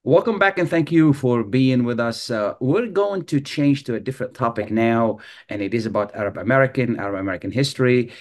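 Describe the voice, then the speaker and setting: A man's voice: mid-range voice, lively intonation, fairly quick. A middle-aged man hosting a radio interview show, talking conversationally with frequent restarts, on a webcam. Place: studio with video backdrop.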